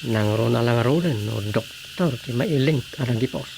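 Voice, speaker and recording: Guy doing a gravelly ilocano husband, radio drama comedy, chatty and opinionated, bit of background noise. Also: mid-range voice, normal speed, close to an everyday voice. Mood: musing.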